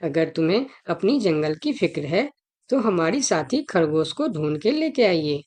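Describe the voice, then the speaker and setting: Woman as a worried, animated cartoon rabbit. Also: lowish voice, unhurried. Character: worried rabbit petitioning for missing companions. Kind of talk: Hindi children's cartoon dialogue.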